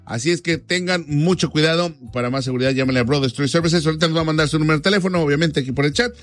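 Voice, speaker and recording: Guy hosting a call-in radio show, kinda high voice, jokey and slangy, music playing behind. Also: very fast, no room sound. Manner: playful, teasing, slangy. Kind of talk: casual call-in show chat with dedications and plugs.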